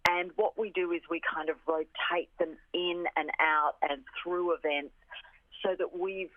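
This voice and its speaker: A woman's voice: fairly low pitch, fairly even intonation, measured pace, dry recording. Aussie woman on a tinny phone line, keen and emphatic, chatting as a radio interview guest. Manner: enthusiastic, emphatic, repeats phrases for emphasis. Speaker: orchestra representative, interview guest. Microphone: telephone.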